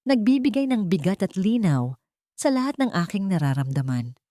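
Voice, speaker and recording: A woman's voice: mid-range voice, sing-song, normal speed, dark tone, dry recording, clean studio sound. A woman reading a scripted, first-person dramatic story as its narrator.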